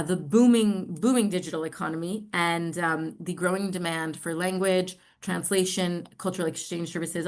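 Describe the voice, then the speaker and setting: A middle-aged American woman from New York giving an online presentation, speaking haltingly with frequent pauses. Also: fairly low pitch. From New York, United States. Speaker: social enterprise representative presenting.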